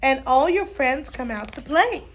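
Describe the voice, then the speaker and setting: A storybook mother hippo, played by a woman speaks gently and slowly in a high voice. She is patient, soothing and full of reassurance. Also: animated. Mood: explaining gently.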